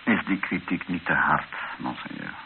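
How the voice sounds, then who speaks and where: Deferential aide to a bishop, deep voice, sober, measured, radio drama, faint hiss. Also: male voice. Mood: respectful. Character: respectful, fearful, sombre aide to a missionary bishop. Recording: hissy, dull old radio recording.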